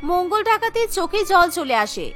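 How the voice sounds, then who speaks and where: A woman narrating a cartoon story in an even, dramatic storytelling manner. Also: very high voice, fairly quick.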